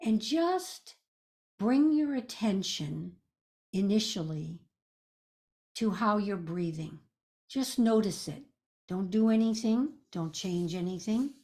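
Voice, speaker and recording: A woman leading a guided meditation as a teacher, speaking in a gentle, soothing, measured way with pauses. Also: medium pitch, expressive.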